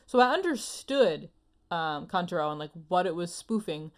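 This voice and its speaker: A woman's voice: on the low side, lively intonation, moderate pace. A woman co-hosting a podcast, chatting casually in an effusive, emphatic, rambling way. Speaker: podcast co-host. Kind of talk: casual opinionated chat.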